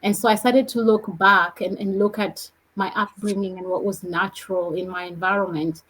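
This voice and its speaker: A woman from Kenya, a guest on a podcast, telling her story in a reflective, measured way. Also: mid-range voice, fairly even intonation, a bit bright, slightly rough, low-quality recording. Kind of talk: podcast conversation.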